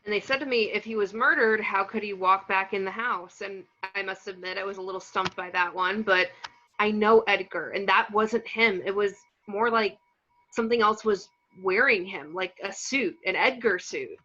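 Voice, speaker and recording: A woman's voice: mid-range voice, moderate pace, bright, forceful tone. A woman reading the part of a polite, baffled farm wife at an amateur table read, homely and conversational, on a lo-fi microphone. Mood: baffled, recounting. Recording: dull, lo-fi microphone sound.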